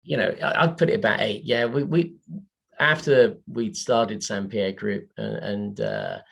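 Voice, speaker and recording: A man with a gravelly voice answers as a panel guest. He speaks haltingly and often restarts his sentences. The sound comes over a video call. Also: lowish voice, big pitch swings, fairly bright tone. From England.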